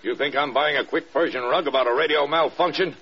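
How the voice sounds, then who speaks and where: A gruff, authoritative senior general in a vintage radio play. His voice is rather high for a man and rough with huskiness, and he blusters like a superior officer. The old recording is dull. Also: brisk, pushed and bright. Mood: scolding. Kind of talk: 1940s-50s radio drama acting.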